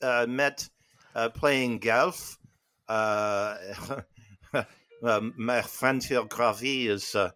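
A man voicing a pompous fake-French gentleman with a put-on French accent, halting with constant "uh" fillers. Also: on the low side. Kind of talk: improvised comedy bit.

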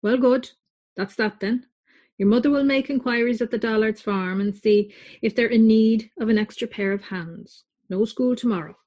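A woman's voice: a little high, moderate pace, a little mellow, highs cut off, close to an everyday voice. A woman voicing a stern farming father who states his decisions plainly. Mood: matter-of-fact. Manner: matter-of-fact and decisive.

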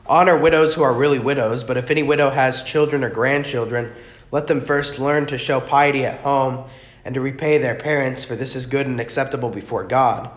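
A man with a hoarse, worn-out voice, teaching an informal church class in a soft, rambling way that corrects itself often. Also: medium pitch, fairly even intonation, a little fast. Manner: rambling, self-correcting, talks softly. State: hoarse, lost his voice. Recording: muffled, echoey room with faint noise.

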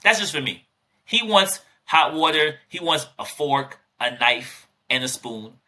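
A man's voice: on the high side, lively intonation, unhurried, bright, forceful tone. A man co-hosting a podcast, chatting casually and teasing his guest with jokes. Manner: joking, teasing, playful. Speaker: podcast co-host.

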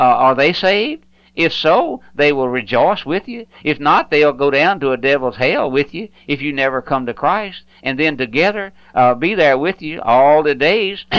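A man's voice: on the high side, fairly bright tone, no room sound, lo-fi sound. A man pastor preaching an earnest, pleading radio sermon, often repeating himself and stumbling over words.

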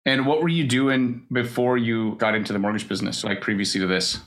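A man's voice: mid-range voice, steady tone, a bit bright. A man hosting a podcast interview, talking in a casual, upbeat way full of fillers. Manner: upbeat, fast talk with many fillers like "like". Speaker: podcast host.

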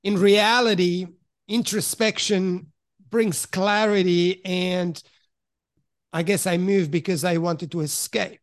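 Middle-aged guy from italy raised in switzerland, pretty high voice, candid and thoughtful, dry close sound. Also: steady tone, slow pace, no room sound. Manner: reflective and frank, searching for words. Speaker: podcast guest. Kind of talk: candid personal storytelling in conversation.

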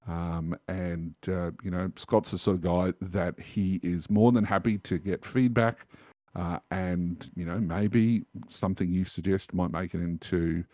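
A man's voice: deep voice, steady tone, unhurried, warm tone, no room sound. A man in his fifties chatting as a podcast guest, enthusiastic as he recommends something. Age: 50s. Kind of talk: casual podcast chat.

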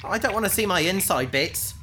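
A woman's voice: mid-range voice, lively intonation, fairly quick, sharp tone, slight background noise, poor audio. A woman playing an indignant, pleading captive in a science fiction audio drama, speaking in an earnest, protesting way. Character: indignant, pleading female captive victim on operating table.

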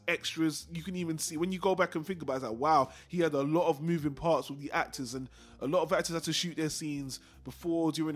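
Guy with a highish voice chatting casually on a film podcast, rambles and repeats stuff, music behind him. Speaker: podcast co-host.

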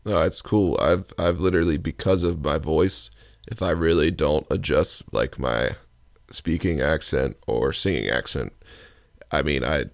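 A man with a deep baritone voice hosting a casual interview, talking with frequent ums and restarts. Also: measured pace, creaky, no echo, studio quality. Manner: hesitant, many ums and restarts. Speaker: podcast host.

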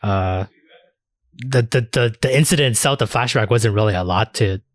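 A man's voice: lowish voice, moderate pace. A man podcast host in casual discussion, rambling enthusiastically and swearing freely. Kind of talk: casual anime discussion chat.

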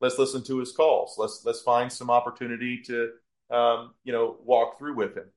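A man's voice: mid-range voice, flat delivery, moderate pace. A man with a mid-range voice talks as a podcast guest who runs a business. He explains in a conversational way, restarting and doubling words as he works through each point.

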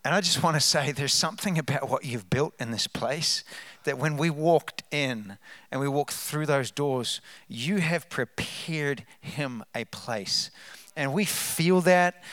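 A man with a New Zealand accent, a guest speaker telling testimonies at a church, animated and rousing the congregation. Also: medium pitch, no room sound. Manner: animated, hypes up the crowd, trails off into asides. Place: church sanctuary.

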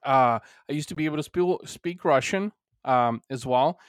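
A man's voice: mid-range voice, normal speed, no echo, clean studio sound. A man born in Bulgaria, a native Bulgarian speaker, hosting a solo podcast in an earnest, rambling way full of "you know" fillers. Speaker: podcast host.

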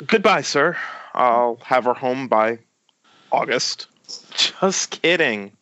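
A man's voice: medium pitch, expressive, slow pace, sharp tone, dry recording, full character voice. A man playing a joking teenage boyfriend in an amateur script table read. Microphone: Skype call. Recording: dull lo-fi internet call.

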